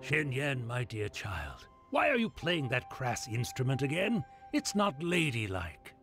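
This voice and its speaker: A man's voice: mid-range voice, huge pitch swings, unhurried. A man playing a fussy, disapproving older village uncle in a video game. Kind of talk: game voice acting. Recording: music behind the voice.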